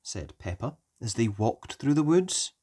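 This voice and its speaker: Female children's story narrator: very low register, unhurried, richly animated storytelling. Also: slightly rough.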